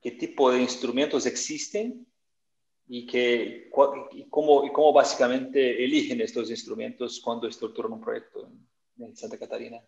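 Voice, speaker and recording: A man's voice: mid-range voice, little pitch movement, moderate pace, creaky, a little mellow, echoey room, lo-fi sound. A man moderating a conference panel, hesitant and repeating words as he asks questions.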